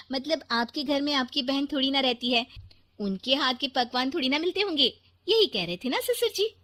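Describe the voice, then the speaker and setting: A woman's voice: high voice, lively intonation, moderate pace, bright, forceful tone. A woman voicing a cheeky, confident young daughter-in-law in a comic family story, perky and teasing.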